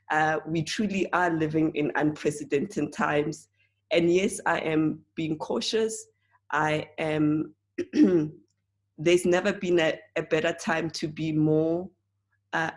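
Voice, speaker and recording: A woman speaks in a hoarse, croaky voice that sits low for a woman. She talks slowly and earnestly, stopping often for fillers and restarts. She presents a casual class from home over a video call. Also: darkish tone. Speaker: presenter of an online oils class. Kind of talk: casual spoken class talk.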